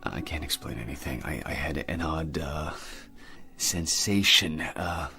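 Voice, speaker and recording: Deep-voiced, dazed astronaut. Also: male voice, bright, forceful tone, full character voice. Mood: bewildered. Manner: halting, stammering. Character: dazed, confused male astronaut just woken up. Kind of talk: dramatic radio acting.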